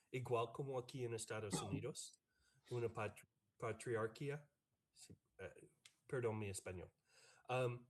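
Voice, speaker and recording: Slow halting American man on a video call. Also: medium pitch. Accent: American English. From United States. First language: English. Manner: halting, many fillers and restarts. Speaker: professor. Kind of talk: academic panel answer. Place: online video meeting. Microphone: computer mic on a video call.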